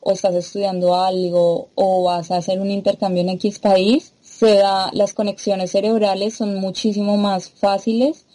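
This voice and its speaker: A woman from Latin America speaks as an interview guest, her voice warm and mellow in tone. She explains things patiently, stopping now and then on small fillers as she thinks. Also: medium pitch, little pitch movement, moderate pace, hardly any pauses, low-quality recording. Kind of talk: interview conversation.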